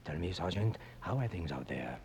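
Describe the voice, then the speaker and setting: A man playing a flustered sportscaster who is being held prisoner, speaking, quiet and far from the mic. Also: low voice, expressive, normal speed, creaky, a little hiss or hum.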